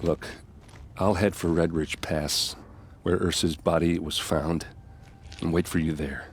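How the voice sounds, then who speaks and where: A man's voice: steady tone, measured pace, slight background noise. An actor voicing a young male warrior in a video game who grieves his murdered sister, earnest and pleading. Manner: earnest, pleading, low-voiced.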